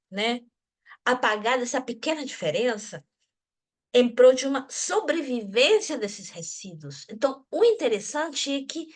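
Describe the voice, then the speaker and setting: A woman from Venezuela speaking in an academic discussion group, thoughtful, often restarting and adding tag questions. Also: on the high side. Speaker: discussion participant.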